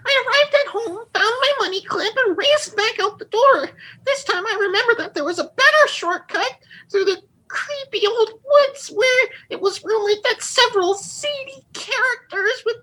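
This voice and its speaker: A tiny, puffy shame spirit speaks in an extremely high, piercingly bright voice. It is tearful and self-pitying, with florid, old-fashioned melodrama suited to comic radio theatre. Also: female voice, animated, moderate pace, heavily acted, poor audio. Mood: mournful storytelling. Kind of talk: comedy radio theatre acting.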